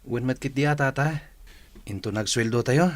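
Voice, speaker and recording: A man's voice: mid-range voice, normal speed, natural voice. A man playing a respectful younger farmhand in an Ilocano radio drama, deferential, with an Ilocano accent.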